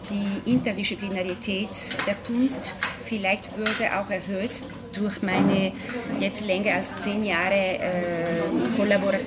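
A woman in her thirties from Italy, a pianist speaking German with an Italian accent, presenting her concept at a press conference and often pausing with fillers while she searches for words. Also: medium pitch. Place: ornate café room with audience. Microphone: table microphone at a distance. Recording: room with a loud crowd.

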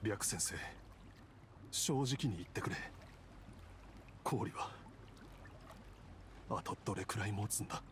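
A man's voice: fairly low pitch, slow, long pauses, slight background noise. An actor playing an ailing young man in an anime game, earnest, wistful and resigned to sacrifice. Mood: resigned, sorrowful. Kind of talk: Japanese anime game acting. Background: background music.

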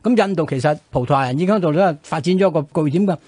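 A man's voice: fairly high pitch, rapid-fire, darkish tone, no room sound. A man with a Hong Kong Cantonese accent hosting radio, telling history in a conversational way full of colloquial sentence-ending particles. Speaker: radio host. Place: radio studio.

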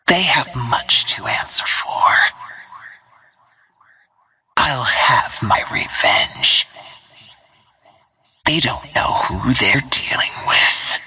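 Video game assassin, female: cold, controlled menace, breathy and husky with a razor-sharp edge, devoted to the kill. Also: very deep voice, expressive, drawn-out words, long pauses, no echo, heavily acted. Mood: cold, vengeful. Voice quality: breathy, hissing whisper. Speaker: video game character voice actor. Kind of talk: video game combat voice lines.

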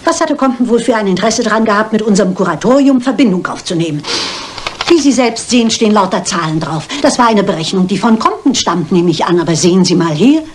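An actress playing a shrewd, bossy elderly lady detective who reasons aloud briskly and with authority in a German crime drama. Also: medium pitch, a little hiss or hum, natural voice.

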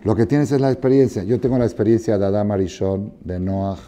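A man in his sixties teaching a Torah class in a study hall, expressive and often asking his listeners whether they follow. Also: fairly low pitch, fairly quick. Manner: expressive, questioning listeners, acting out dialogue. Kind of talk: animated Torah lesson with parables. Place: study hall with wooden chairs, indoors.

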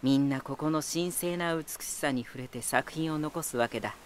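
An actor playing a teasing, talkative lighthouse spirit in an anime-style voice drama, boyish and self-assured with an unsettling edge. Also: male voice, fairly high pitch, normal speed, slight background noise, natural voice. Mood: relaxed, proud. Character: playful male lighthouse spirit, teasing, talkative, eerily battle-hungry.

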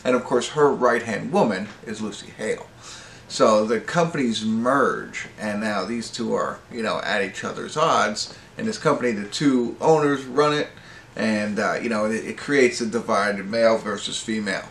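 A middle-aged American man giving a casual, unscripted movie review in a halting, rambling way, often correcting himself. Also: on the low side, fairly bright tone. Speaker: amateur movie reviewer and host. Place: small indoor wood-panelled room at home. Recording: roomy echo with faint background noise.

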